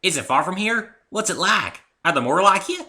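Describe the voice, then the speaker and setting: A man's voice: high voice, sing-song, normal speed, bright, forceful tone, pitched above the performer's own voice, heavily acted. A man voicing an eager, innocent little country filly, a cartoon pony with a Southern accent, in a story reading. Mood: curious, excited.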